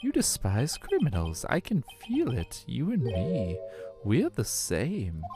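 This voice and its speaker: A man's voice: mid-range voice, huge pitch swings, slow pace, over music, exaggerated voice. A man voicing a menacing, taunting police chief villain in a fan dub of a video game. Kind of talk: fan voice acting of a visual novel game.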